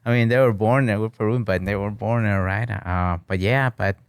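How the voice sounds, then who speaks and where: A man's voice: fairly low pitch, brisk, smooth, no room sound, studio quality. A man in his thirties from Peru, a guest chatting casually in an interview and filling his talk with "you know" and "right?". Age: 30s. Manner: relaxed and talkative, many "you know" and "right?", slips into Spanish. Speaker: guest, bartending business owner. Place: podcast studio with flags on the wall.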